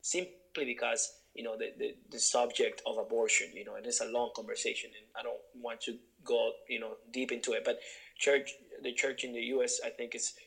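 A man's voice: medium pitch, fairly bright tone, low-quality recording. A young man from Honduras, a guest in an interview, talking earnestly and searchingly with many restarts. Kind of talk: reflective interview conversation.

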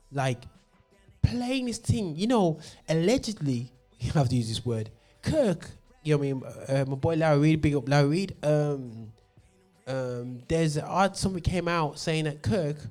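Male radio host, mellow tone, passionate rant, faint music behind. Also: on the high side. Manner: passionate, ranting, many fillers. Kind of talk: opinionated radio monologue. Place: studio.

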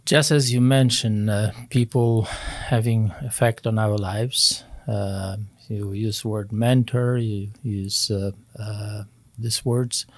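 A man of about seventy from Poland, with a Polish accent, speaking as an interview guest in a measured way and searching for his words. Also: lowish voice, steady tone, no echo. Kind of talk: interview, recounting family history.

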